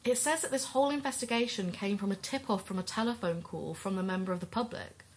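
A woman's voice: medium pitch, fast, natural voice. Sincere, determined female podcast investigator played by an actress. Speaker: podcast co-host. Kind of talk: audio drama acting.

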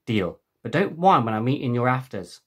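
Man playing a grumbling, husky fussy boy. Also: medium pitch, big pitch swings, moderate pace. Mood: challenging.

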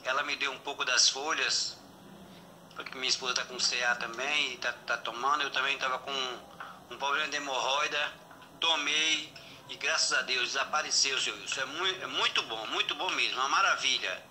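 Sharp, bright man's voice note. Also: mid-range voice, normal speed, slight background noise, poor audio. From Bahia, Brazil. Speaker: customer giving a testimonial. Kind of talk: casual voice message. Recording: voice note replayed through phone speaker.

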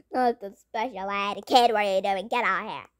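A woman voicing a strict female schoolteacher who barks loud orders at late students in a game roleplay. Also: medium pitch, no room sound, clean studio sound, pitched below the performer's own voice. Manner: bossy, loud scolding orders.

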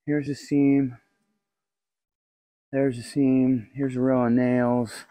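A man's voice: mid-range voice, little pitch movement, takes it slowly, warm tone, no echo. A man talking casually and thinking aloud as he works, recorded in a large wooden barn from a camera a short distance away. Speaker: vlog co-host doing the repair work. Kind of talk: casual on-site talk while working.

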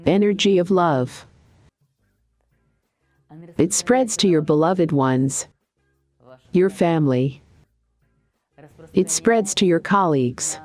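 A woman with a low voice narrates a guided meditation. She speaks calmly and deliberately, giving soothing instructions in short phrases with pauses. Soft background music sits faintly beneath her.

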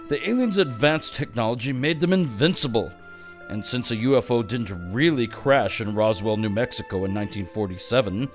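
A man reading scripted mock-storybook narration with deadpan comic delivery. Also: medium pitch.

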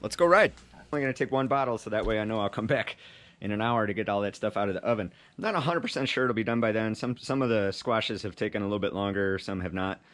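A man's voice: lowish voice, fast, a bit bright, a little hiss or hum. Chatty man vlogging from a bike. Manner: chatty, loose and self-mocking, talking to camera. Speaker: cycling vlogger. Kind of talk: casual vlog, cooking and riding. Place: home kitchen, then outdoors on a road bike. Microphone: action camera on the bike or held close. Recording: action-camera audio with music underneath.

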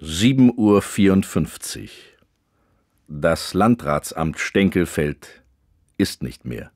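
An actor playing a grave documentary narrator in a radio comedy, solemn, ominous and deadpan with weighty pauses. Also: male voice, sits low, no echo, studio quality, full character voice. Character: grave male documentary narrator chronicling absurd small-town disasters. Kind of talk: scripted mock-documentary narration.

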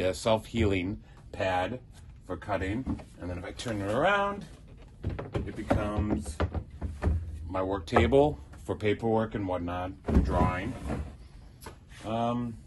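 A man's voice: fairly low pitch, noisy background. A middle-aged man giving a casual, rambling tour of his prop box in a theater prop shop, listing tools with many ums and uhs. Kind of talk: casual show-and-tell tour.

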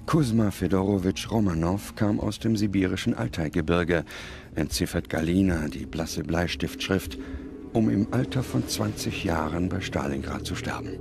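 Deep-voiced man narrating a documentary over music. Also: vocal fry. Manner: solemn, measured, dramatic pauses. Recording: loud music under the voice.

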